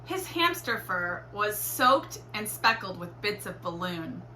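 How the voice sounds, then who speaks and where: A woman reading a children's storybook aloud in an expressive, deliberate teacherly way. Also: medium pitch. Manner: animated, deliberate storytelling with acted exclamations. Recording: roomy echo, faint background noise.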